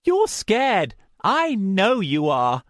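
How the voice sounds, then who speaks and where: A man's voice: huge pitch swings, extremely slow, a bit bright, no room sound, studio quality, full character voice. A man voicing a crazed man who rants in short broken phrases and clutches a cursed charm. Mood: menacing. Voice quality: shrill, ranting in broken phrases.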